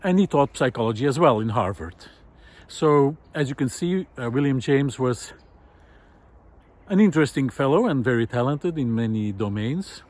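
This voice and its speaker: A man giving an informal, reflective solo talk in a thoughtful, halting way, pausing deliberately for emphasis. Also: medium pitch, animated, a little mellow. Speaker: host of a personal audio series.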